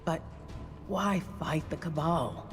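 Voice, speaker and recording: A man's voice: fairly high pitch, takes it slowly, music in the background. A man playing a thoughtful, concerned scholarly strategist in a video game, earnest and questioning. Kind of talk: video game cutscene acting. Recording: echoey large hall reverb.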